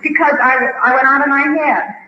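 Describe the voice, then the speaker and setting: Very high-voiced, frightened, pleading woman. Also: fairly even intonation, normal speed, pushed and bright. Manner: pleading, repetitive, agitated. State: frightened, tearful. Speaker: escaped sanitarium patient held by police. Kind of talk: distressed conversation recorded live on a police ride-along. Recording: dull, noisy vintage radio field recording.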